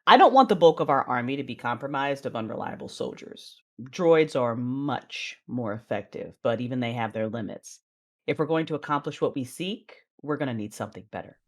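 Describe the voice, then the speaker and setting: A woman's voice: rumbling low, lively intonation, moderate pace. A woman playing a cool, ambitious, coy Sith lord in a sci-fi audio drama, imperious and seductive. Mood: businesslike, resolute.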